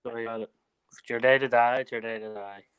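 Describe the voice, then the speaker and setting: A man's voice: medium pitch, steady tone, fast talker, gravelly, smooth, dry recording, phone-quality sound. A man guesting on a livestream over a video call, talking bluntly and swearing casually, with stammered restarts. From Ireland. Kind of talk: casual chat. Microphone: remote video call mic.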